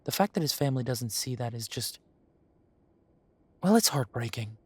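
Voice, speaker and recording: An actor playing a kindly café owner in a fantasy town, talking to the listener in an unhurried, courteous, slightly formal way in a roleplay audio. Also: male voice, medium pitch, animated, husky, dry recording. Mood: sympathetic, sad.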